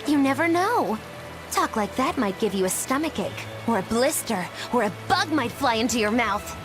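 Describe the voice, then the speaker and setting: A woman's voice: a little high, animated, normal speed, close to an everyday voice. A woman playing a mischievous, flippant witch in a video game, sly and mocking in a knowing way. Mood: teasing. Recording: game music under the voice.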